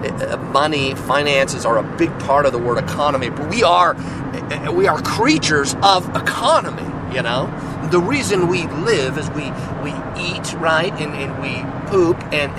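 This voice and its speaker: Hoarse, raspy man rambling on a podcast. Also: on the high side, animated, moderate pace, very noisy. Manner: joking, loose, self-deprecating. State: hoarse, getting over a cold. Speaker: podcast host. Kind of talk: casual rambling monologue.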